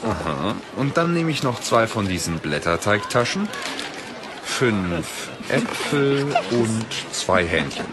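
A man playing a suave, quietly manipulative young magician in a fantasy audio drama, speaking formally and politely. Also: lowish voice, big pitch swings, slow, noisy background. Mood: suave, controlled.

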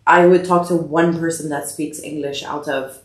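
A woman's voice: deep voice, fairly even intonation. Chatty woman in an echoey room. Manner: chatty, quick bursts with frequent fillers and restarts. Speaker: podcast guest. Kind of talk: casual interview chat. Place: living room, indoors, medium size.

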